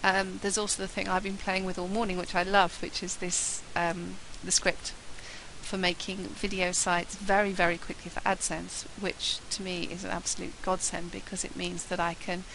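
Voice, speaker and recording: A woman talking about marketing in a chatty, upbeat way, her voice husky from a flu. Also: fairly low pitch, little pitch movement, moderate pace, fairly bright tone, a little hiss or hum, rough recording. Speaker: marketing presenter. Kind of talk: solo talk to camera.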